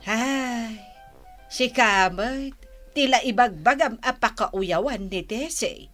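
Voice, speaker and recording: A woman's voice: fairly high pitch, takes it slowly, fairly bright tone, faint music behind. A woman playing a gossipy, critical village customer in a radio drama, drawling with an Ilocano accent. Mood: gossiping.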